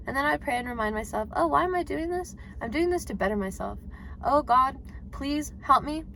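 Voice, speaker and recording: A woman in her twenties talking casually to her viewers, chatty and quick to laugh. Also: medium pitch, slight background noise. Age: 20s. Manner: chatty, laughs often, animated. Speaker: vlogger. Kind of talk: casual talk to camera.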